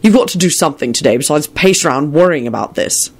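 A woman's voice: low-pitched, steady tone, measured pace, a little mellow. A woman voicing a blunt, loyal, impatient man in an audiobook, speaking in a dry, firm, clipped way. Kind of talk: audiobook character voice.

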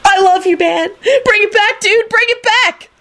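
A woman's voice: extremely high pitch, moderate pace, bright, forceful tone, pitched above the performer's own voice, full character voice. Woman as a shrieking, jubilant young dude. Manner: whooping, gushing.

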